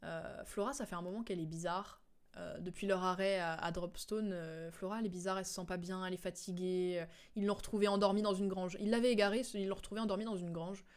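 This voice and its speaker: A woman streamer giving casual, informal game commentary, recapping a story haltingly as she recalls it. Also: on the low side, steady tone.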